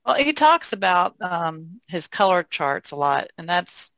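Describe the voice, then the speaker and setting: A woman's voice: lowish voice, sing-song, a little slow, a bit bright, dry recording. A woman talking casually as a guest on a podcast. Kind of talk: casual conversation.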